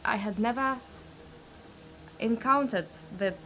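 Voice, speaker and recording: A woman's voice: on the high side, takes it slowly, fairly bright tone, a little hiss or hum. Woman, hesitant, searching for words. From Russia. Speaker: narrative therapist. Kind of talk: personal reflection.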